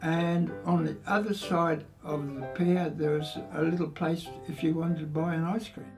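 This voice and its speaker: A man's voice: on the high side, fairly even intonation, moderate pace, over music. An Australian man in his eighties recalling the past in an oral history interview. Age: 80s. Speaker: local resident interviewee.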